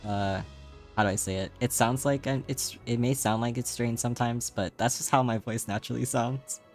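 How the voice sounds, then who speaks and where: A man streaming live, chatting casually with a slightly raspy, tired voice and rambling, filler-heavy talk. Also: mid-range voice, fairly even intonation, normal speed, faint music behind. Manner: rambling, many fillers, laughs often.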